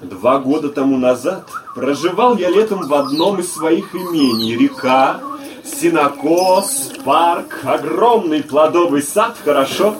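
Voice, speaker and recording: Actor as florid gentleman storyteller, recorded at a distance. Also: a little high, expressive, a little slow, noisy background. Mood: leisurely reminiscing. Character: genial well-off gentleman patron, a storyteller. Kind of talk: theatrical storytelling in a live audio play. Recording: distant stage recording, audience around.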